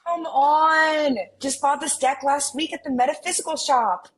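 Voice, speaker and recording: A woman's voice: high-pitched, fairly even intonation, a little slow, slight background noise. A woman playing a playful, curious millennial twin sister with a mystical streak, in a romcom table read. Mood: eager, coaxing.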